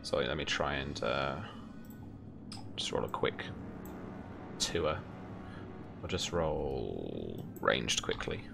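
A man's voice: rumbling low, sing-song, slow, vocal fry, long pauses. A young man running a tabletop game as game master, narrating and talking rules in a casual, hesitant way. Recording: music behind the voice.

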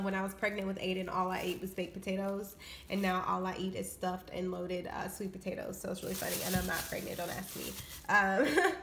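A woman's voice: mid-range voice, a little hiss or hum. Chatty young woman showing groceries. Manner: chatty, filler-heavy, upbeat. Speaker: vlogger. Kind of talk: casual grocery haul chat. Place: indoors at home.

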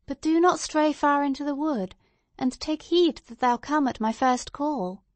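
A woman's voice: high-pitched, measured pace, no echo, voice pushed up. A woman voicing a sombre, firm mother in a storybook, speaking in an earnest, admonishing way with archaic phrasing. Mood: impatient, firm.

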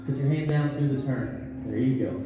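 A man's voice: mid-range voice, moderate pace. Texan man calling commands across an echoey arena. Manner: short repeated commands, calm and encouraging. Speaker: horse riding instructor. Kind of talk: coaching riders live from the arena. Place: large covered indoor arena. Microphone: camera far across the arena. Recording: distant, roomy arena sound, dull audio with music behind.

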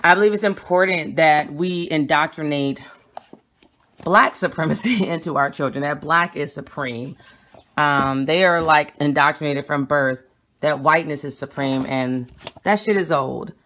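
A young woman vlogging at home with a phone camera, talking in a blunt, emphatic and quick way as she shows books. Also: low-pitched, fairly bright tone, a little hiss or hum. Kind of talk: casual home vlog showing books. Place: small room at home, indoors. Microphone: phone camera held at arm's length.

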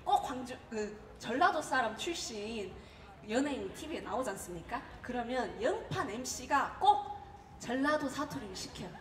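A woman in her thirties from Gwangju with a Jeolla accent gives a humorous prepared speech, telling stories in a witty, animated way. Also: on the high side, moderate pace. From Gwangju, South Korea. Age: 30s. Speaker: contest participant. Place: event hall. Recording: roomy hall, distant mic, faint noise.

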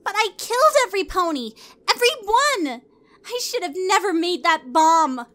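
A woman's voice: very high voice, big pitch swings, normal speed, bright, forceful tone, heavily acted. A woman voicing an earnest, distraught young pony princess in a fan fiction audio drama. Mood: horrified.

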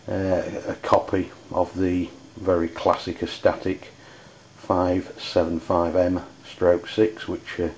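Low, monotone Englishman, halting delivery. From United Kingdom. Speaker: amateur radio reviewer. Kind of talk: unscripted product review. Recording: dull lo-fi audio with background noise.

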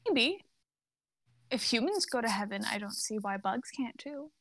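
A woman playing a gentle, thoughtful mother of thirty-one in a dramatic script reading. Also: a little high, lively intonation, moderate pace, no echo. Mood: thoughtful, tender.

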